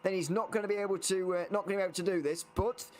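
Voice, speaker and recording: A man's voice: high voice, steady tone, rapid-fire, a little hiss or hum. A man co-commentating a sim race live, hesitant with many fillers before he picks up the call.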